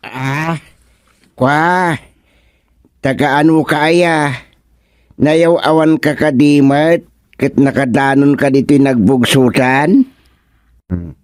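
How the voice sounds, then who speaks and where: A bumbling, jumpy village man acted by a man in a comedy radio serial. He speaks slowly in a fairly high voice, stammering and exclaiming, recorded dry and close. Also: dry recording. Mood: suspicious. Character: startled, bumbling village man talking to a frog. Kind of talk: comedy radio drama acting.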